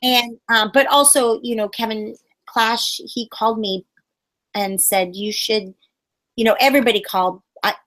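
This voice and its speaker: A middle-aged woman puppeteer telling stories in a casual interview, bubbly and animated, acting out quotes in high voices. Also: normal speed.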